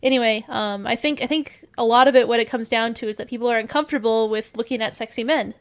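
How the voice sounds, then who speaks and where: A woman's voice: on the high side, quick pace. A woman who works as a game effects artist, chatting casually and rambling with many restarts. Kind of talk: casual podcast chat.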